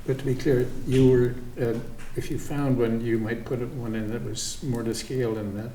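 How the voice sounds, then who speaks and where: A man on a town commission asking deliberate, probing questions at a public meeting in a roomy hall. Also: medium pitch, level tone, mellow, slight background noise. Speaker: commission member.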